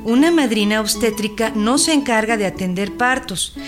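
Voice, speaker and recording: A woman's voice: fairly high pitch, lively intonation, normal speed, natural voice. A woman with a Mexican accent playing a helpful, composed health hotline operator in a scripted radio drama, formal and explanatory. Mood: polite, informative. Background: guitar music.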